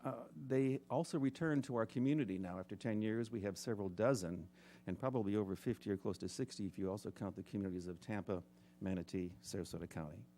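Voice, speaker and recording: A man speaking at a public board meeting, introducing medical students in a measured, plain way. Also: fairly low pitch, dark tone. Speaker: medical school program official.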